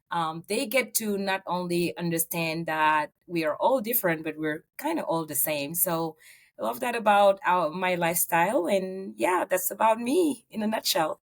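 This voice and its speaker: A woman from Senegal with a fairly low voice, talking through her career story as an interview guest. She speaks earnestly, with frequent um and uh fillers. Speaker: real estate agent, guest. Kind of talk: interview answers.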